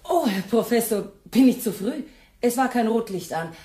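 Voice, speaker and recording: An actress playing an affected female singer in a radio drama, with a drawn-out delivery. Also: on the high side, animated, natural voice. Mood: gushing. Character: affected female singer, flattering then crude. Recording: echoey studio, loud music behind.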